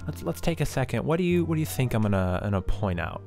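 A young man with a medium-pitched voice plays cooking host in his own home kitchen. He delivers instructions with mock confidence and a tongue-in-cheek edge, often doubling back on his words. Music can play behind him. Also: big pitch swings, fast talker, darkish tone. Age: young adult. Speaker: host of a cooking parody vlog. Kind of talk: mock cooking tutorial narration. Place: home kitchen and dining area, indoors.

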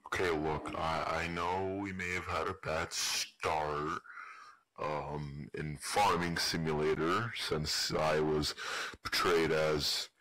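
Man playing an awkward, rambling deep-voiced mad doctor. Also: steady tone, takes it slowly, sharp tone, heavily acted, poor audio. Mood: defensive, awkward. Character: awkward, dismissive mad doctor who creates enemies. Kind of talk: amateur game-style character voice lines.

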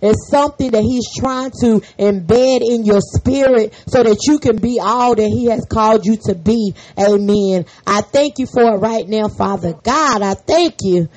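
A hoarse woman hosting a faith podcast, leading a fervent spoken prayer and an encouraging sermon full of repeated amens. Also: a little high, measured pace, hardly any pauses, a little mellow, rough recording. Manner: fervent, repetitive, peppered with amen. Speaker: podcast host and prayer leader.

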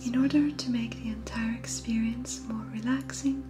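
A woman leading an ASMR guided meditation in a soft, breathy near-whisper, close on a binaural microphone. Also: a little high, fairly even intonation, moderate pace. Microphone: close binaural microphone, panning side to side. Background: music.

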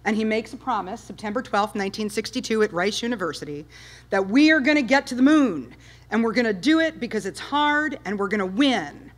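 A woman in her fifties giving an enthusiastic public talk with slides, loud and emphatic, firing rhetorical questions at the audience. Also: a little high, expressive, a little fast. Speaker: guest speaker, space history presenter. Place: dark lecture hall with projector screen.

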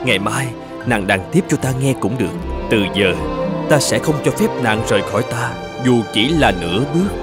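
An actor playing a self-important, lovestruck middle-aged emperor in an animated fairy tale, stately and doting in manner. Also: male voice, medium pitch, a little fast, lightly acted. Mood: smitten. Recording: loud music behind the voice.

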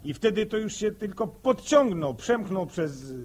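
Young village suitor, husky, higher-pitched, sociable, radio drama. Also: male voice, sing-song, measured pace, a little mellow, exaggerated voice. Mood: chatty, amused. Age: young adult. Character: young farm lad, sociable, eager suitor.

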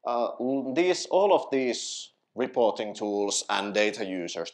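A man in his thirties from Finland speaks at a tech meetup, his voice sitting a little low. He explains step by step with frequent fillers and self-corrections. He is picked up by a headset mic in a small event room. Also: darkish tone. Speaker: conference speaker.

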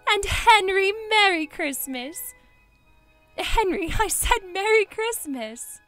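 A woman's voice: extremely high pitch, animated, takes it slowly, sharp tone, dry recording, faint music behind, heavily acted. A woman playing a wealthy older widow in an audio drama, earnest, theatrical and full of feeling. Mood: joyful, effusive. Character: wealthy older widow, wistful, emotional and effusive.

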